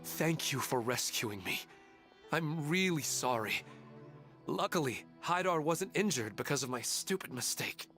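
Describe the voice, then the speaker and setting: A man's voice: fairly high pitch, animated, a little slow, bright, forceful tone, soft background music. An actor voicing a humble, grateful, apologetic man in a video game, speaking sincerely and contritely.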